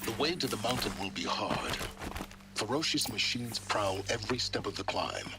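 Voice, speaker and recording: A grave male guide in a video game. His voice is on the low side, his phrasing formal and measured. Music is clearly heard behind him. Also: lively intonation. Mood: grave warning. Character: grave, knowing male guide warning of dangers. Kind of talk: dramatic video game dialogue.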